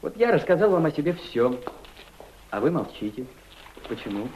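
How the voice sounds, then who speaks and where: A man's voice: fairly high pitch, very animated, normal speed, darkish tone. An actor playing an earnest, lovestruck, homesick Russian man in a radio play, hesitant and pleading. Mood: tender, earnest. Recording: dull, hissy old radio drama recording.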